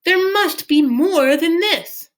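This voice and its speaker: A woman's voice: extremely high pitch, expressive, slow, voice pushed up, full character voice. A woman voicing a restless, ambitious man in a folktale, insistent and emphatic. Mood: impatient.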